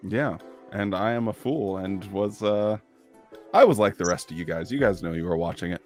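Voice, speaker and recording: A man's voice: on the low side, normal speed, a little mellow, faint music behind. A laid-back man joining a casual livestream chat as co-host, laughing easily.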